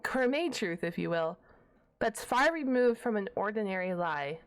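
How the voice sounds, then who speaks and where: A woman's voice: medium pitch, animated, normal speed, lightly acted. A woman playing a shy, nerdy half-dragon librarian in an ASMR roleplay, stammering and wordy, awkwardly defensive and a stickler for rules. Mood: flustered, pleading. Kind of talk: ASMR roleplay audio drama.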